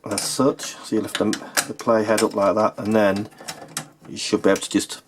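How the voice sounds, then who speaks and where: A man's voice: lowish voice, little pitch movement, moderate pace. A man narrating an informal how-to as a repair technician, speaking matter-of-factly while he works with his hands. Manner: matter-of-fact, talking while working with hands. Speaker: repair technician and video host. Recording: clearly noisy recording with clicks.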